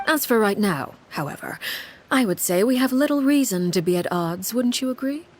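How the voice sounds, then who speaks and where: A woman playing a composed, commanding leader in a video game, speaking formally with measured, quiet authority. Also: fairly high pitch, expressive, a little hiss or hum, natural voice. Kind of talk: dramatic video game dialogue. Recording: faint music behind the voice.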